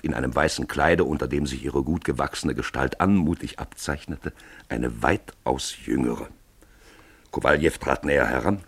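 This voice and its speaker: A man narrating a literary radio play in an expressive storytelling manner. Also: low-pitched, slightly rough, no room sound.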